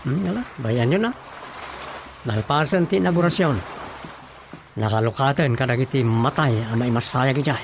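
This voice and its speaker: A man's voice: medium pitch, moderate pace, pauses often, noisy background, lightly acted. An actor playing a practical, inquisitive village councilman in a conversational comedic radio drama, with an Ilocano accent and music behind him.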